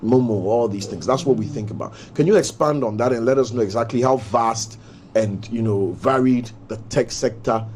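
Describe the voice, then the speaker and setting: A man's voice: medium pitch, normal speed, darkish tone, a little hiss or hum. A young man with a Ghanaian accent hosting a talk show, teasing his guest in playful banter. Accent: Ghanaian English.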